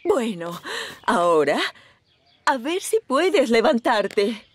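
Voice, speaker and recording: A woman playing a caring, anxious nurse in an animated series dub, earnest and concerned in manner. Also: a little high, slow pace, full character voice. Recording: loud music behind the dialogue.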